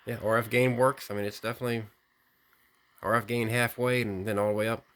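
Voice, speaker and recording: A man giving an unscripted hands-on product demonstration, rambling technically and thinking out loud as he hosts a hobby video. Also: on the low side, flat delivery, fairly quick, slight background noise. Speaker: radio hobbyist video host.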